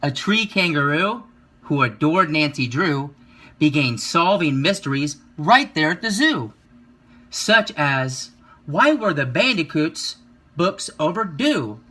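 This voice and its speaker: A man reads a children's story aloud in a fairly high, bright and forward voice. He follows the rhythm of the verse expressively, leaning on key words and stretching them out. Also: very animated, takes it slowly. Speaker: reader of a children's picture book.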